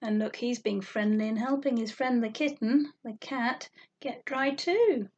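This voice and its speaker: Slow-paced woman reading to small children. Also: a little high, highs cut off. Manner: animated, sing-song, drawn-out emphasis for small children. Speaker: storytime reader for young children. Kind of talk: read-aloud picture book storytelling with questions to children.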